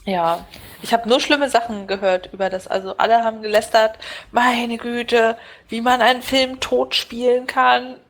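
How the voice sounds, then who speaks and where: A woman's voice: on the high side, fairly even intonation, normal speed, a bit bright. A woman co-hosting a podcast, chatting casually in a wry, self-mocking way. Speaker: podcast co-host. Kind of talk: casual chat.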